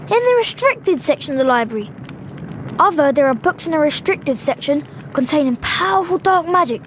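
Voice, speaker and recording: A performer playing an eager, excitable young schoolboy wizard in a video game. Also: high voice, moderate pace, slight background noise, low-quality recording. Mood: excited, eager. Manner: eager, wide-eyed.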